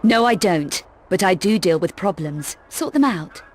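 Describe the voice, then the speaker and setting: An actress playing a tough, self-assured young female adventurer in a video game, curt, sardonic and quietly threatening. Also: lowish voice, expressive, a little slow, slight background noise. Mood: cool, persuasive. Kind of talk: video game cutscene acting.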